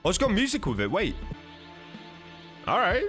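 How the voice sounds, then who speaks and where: A man's voice: sits high, huge pitch swings, normal speed, fairly bright tone. A young man giving enthusiastic, chatty, exclamatory gameplay commentary as a gaming video host. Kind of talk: excited casual gameplay commentary. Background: music.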